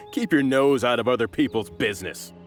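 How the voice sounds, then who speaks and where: A man's voice: medium pitch, lively intonation, normal speed, faint music behind, lightly acted. An actor playing a menacing thug in a video game, taunting and threatening as he talks. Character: menacing, sneering male debt-collecting thug.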